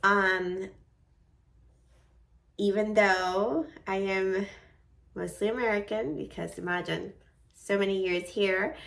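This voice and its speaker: A woman's voice: lowish voice, vocal fry, recorded from a distance. A woman in her forties from Venezuela with a light Venezuelan accent, talking earnestly from her desk in a personal vlog. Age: 40s. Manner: earnest, heartfelt, with soft laughs. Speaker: vlogger. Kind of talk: personal vlog talk to camera.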